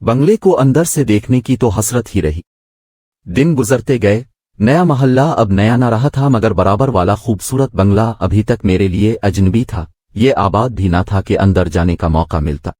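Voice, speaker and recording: A man's voice: on the low side, normal speed, darkish tone, no room sound, studio quality. A man reading a scripted moral story as its narrator.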